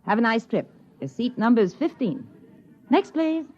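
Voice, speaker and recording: An actress playing a polite, efficient stewardess on a moon rocket in a 1950s radio drama. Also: female voice, fairly high pitch, big pitch swings, measured pace, a little mellow. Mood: brisk, polite. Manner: formal, efficient, official. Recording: dull 1950s radio recording.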